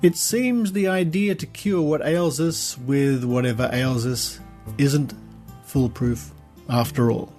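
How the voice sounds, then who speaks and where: A man presenting a podcast, reading an article aloud in a measured, deliberate way with stressed key words. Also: medium pitch, darkish tone, soft background music.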